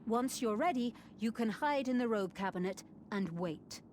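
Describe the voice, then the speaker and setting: A woman's voice: on the high side, animated, moderate pace, darkish tone, a little hiss or hum, close to an everyday voice. A woman playing a stern, resolute abbess with an authoritative, formal manner in a fantasy video game. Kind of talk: scripted video game dialogue.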